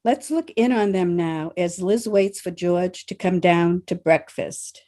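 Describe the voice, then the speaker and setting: A woman narrating a scripted radio show as announcer, in a measured, formal manner. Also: fairly low pitch, dark tone.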